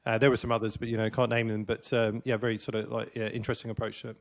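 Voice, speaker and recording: A man's voice: fairly low pitch, very fast, vocal fry. A man hosting an interview podcast, asking questions in a loose, conversational way with plenty of fillers. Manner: relaxed, conversational, lots of fillers. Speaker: podcast host.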